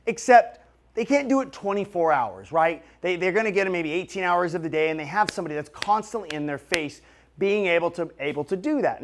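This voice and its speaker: A man in his late thirties with a fairly high voice for a male speaker. He talks straight to his audience as a motivational business vlogger. His delivery is emphatic and direct, in the manner of a coach. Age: late 30s.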